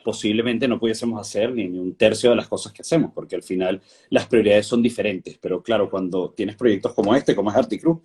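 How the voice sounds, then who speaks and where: A man from Venezuela in his thirties, talking over a video call with a fairly low voice. He speaks in a relaxed, reflective way, pausing with fillers and restarting his sentences as he thinks. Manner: thoughtful, many fillers and restarts. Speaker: guest, winery director. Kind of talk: relaxed conversational interview.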